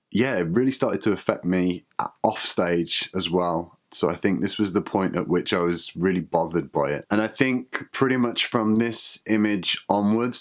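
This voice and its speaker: A man talks to camera in a personal video diary. His voice sits somewhat low, and his delivery is candid and reflective, with occasional halting restarts. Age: 30s. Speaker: vlogger.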